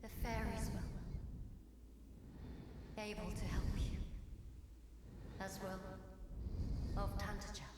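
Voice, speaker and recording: A woman's voice: mid-range voice, little pitch movement, slow pace, long pauses. A woman voicing a mysterious, solemn spirit guide from a fantasy game, beckoning through long reverb. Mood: solemn, urgent. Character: mysterious ethereal voice from a dream, pleading guide. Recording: heavy echo, long reverb, loud noisy ambience.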